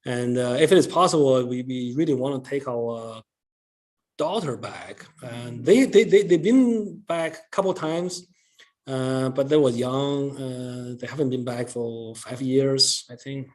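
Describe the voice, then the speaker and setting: Halting middle-aged man. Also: medium pitch. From China. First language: Chinese. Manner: halting, many pauses and restarts. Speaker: guest speaker. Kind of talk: answering audience questions.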